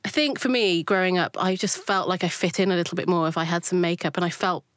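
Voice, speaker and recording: A woman's voice: fairly low pitch, fairly even intonation, fast, dry recording, studio quality. A woman in her thirties from South Wales, a beauty journalist giving fluent, reflective interview answers.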